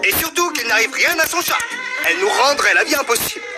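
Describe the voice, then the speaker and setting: A man playing a well-meaning, excitable father in a cartoon, eager and emphatic. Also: very high voice, fast talker. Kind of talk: animated cartoon dub acting. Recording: loud music behind the voice.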